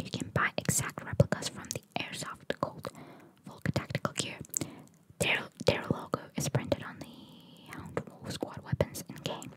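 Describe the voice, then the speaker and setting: A woman speaks entirely in a breathy, unvoiced whisper. She is an ASMR host reading facts aloud, and her delivery is informal and chatty, with her own opinions mixed in. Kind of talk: ASMR, whispered reading of trivia with casual asides.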